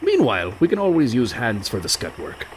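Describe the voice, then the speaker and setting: An actor playing a sly, illiterate pirate ruffian in a comic radio play, with mock-jovial menace and rough, ungrammatical pirate talk. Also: male voice, medium pitch, huge pitch swings, brisk. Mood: scheming. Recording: clear background noise.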